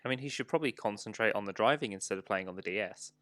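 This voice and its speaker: A man's voice: fairly low pitch, very fast, a bit bright, no echo, clean studio sound. A man co-hosting a podcast, chatting casually about films. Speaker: podcast co-host. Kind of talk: casual movie discussion.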